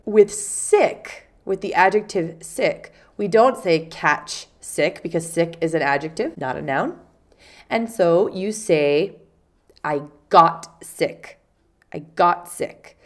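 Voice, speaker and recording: Woman teacher with a cold, nasal and stuffed up, unhurried, lowish voice, patient explainer. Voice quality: congested, nasal. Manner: patient, explains with spoken examples. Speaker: English teacher. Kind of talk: casual vocabulary lesson.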